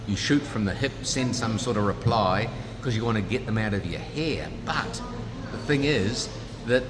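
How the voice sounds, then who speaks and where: Upbeat New Zealand man, low voice. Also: noisy background. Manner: upbeat, punchy salesman delivery. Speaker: guest, business founder. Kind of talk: casual interview pitch. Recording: background music, trade fair.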